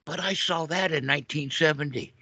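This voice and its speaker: A man's voice: on the high side, brisk, gravelly, pushed and bright, husky, dry recording. An older man teaching informally as a Buddhist teacher, in a jovial, colloquial way with rhetorical check-in questions. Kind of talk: informal dhamma talk in a group call. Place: online group video call. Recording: dull lo-fi online call audio.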